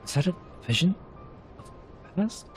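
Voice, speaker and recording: A man's voice: unhurried, faint music behind, natural voice. A man voicing a solemn, mysterious seer from a fantasy game in a portentous manner. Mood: solemn, awed.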